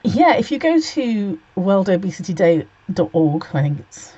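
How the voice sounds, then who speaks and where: A man's voice: high voice, very animated, moderate pace, mellow, breathy edge. A man in his forties hosting a casual solo monologue, sarcastic and blunt, swearing freely and a bit sniffly with a cold. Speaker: host. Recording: dull lo-fi recording.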